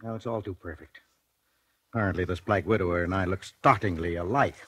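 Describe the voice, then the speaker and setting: Low-voiced meek, hesitant radio-drama fellow. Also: male voice, fairly quick, a bit bright, husky. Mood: resigned. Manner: hesitant, stammering, pleading. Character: meek, lovelorn man resigned to a murder charge. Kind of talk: 1940s radio drama acting, first-person narration. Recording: 1940s radio broadcast, faint music behind.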